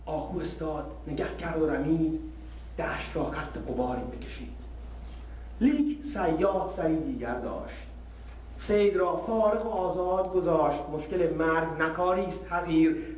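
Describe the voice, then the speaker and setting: A man reciting a narrative poem in a measured, declamatory way, verse by verse. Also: fairly high pitch, a little mellow. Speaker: poetry reciter. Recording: distant, echoey hall, faint noise.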